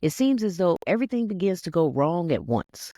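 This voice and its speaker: A narrator reading a self-help text aloud in an instructive, scripted audiobook style, with deliberate emphasis on key words. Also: medium pitch, big pitch swings, warm tone, dry recording, clean studio sound. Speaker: reader, narrator.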